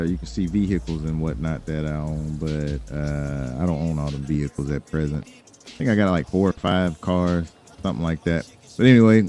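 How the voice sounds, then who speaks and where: A man's voice: deep voice, dark tone. An American man giving casual, chatty gameplay commentary in a slangy, laid-back, rambling way. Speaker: gaming YouTuber hosting a roleplay video.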